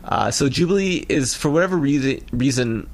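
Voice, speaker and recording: An American man around his thirties or forties chatting casually as a podcast co-host, talking in a rambling, halting way. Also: mid-range voice, lively intonation. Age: 30s or 40s. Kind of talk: casual chat about a cartoon episode.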